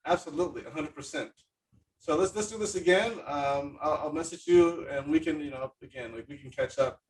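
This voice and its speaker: A man's voice: fairly high pitch, fairly quick, far from the mic, rough recording. A man hosting a podcast in casual chat, effusive and hesitant, with many fillers. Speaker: podcast host.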